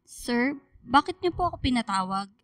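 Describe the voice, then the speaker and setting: A woman's voice: on the high side, animated, moderate pace, fairly bright tone, dry recording. A woman playing an anxious, testy teenage schoolgirl in a Filipino radio drama. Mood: meek, nervous.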